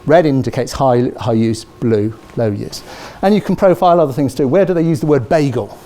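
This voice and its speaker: A middle-aged British man giving a university lecture, animated, checking in with the audience with "okay?" and "yeah?", recorded on a lapel mic in a large lecture theatre. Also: medium pitch, fairly quick. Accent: British English. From Britain.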